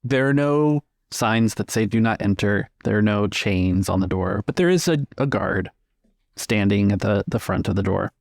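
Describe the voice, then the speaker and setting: A man runs a tabletop game in a low voice with plenty of gravelly fry. He describes scenes in measured phrases, pausing to find words, and the sound is close and dry. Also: expressive, a little mellow, no echo. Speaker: game master. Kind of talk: improvised tabletop game narration.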